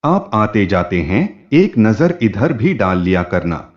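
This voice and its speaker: An anxious young wife , voiced by a man in his own low register, pleading in a storybook tale. The intonation rises and falls freely, and the audio is dull. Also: very animated, takes it slowly. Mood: worried, pleading. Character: worried young wife and mother entrusting her home.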